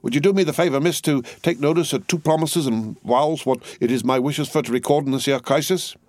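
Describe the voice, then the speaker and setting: A trembling, solemn old servant, as a male narrator puts him on, his voice pitched well above the reader's own and lifted a little louder. He speaks slowly and preaches from a pulpit in rough working-class grammar. The storybook reading is dry, with no room sound. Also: no room sound, close to an everyday voice. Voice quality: ungrammatical working-class speech. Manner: slow, pulpit-like holding forth.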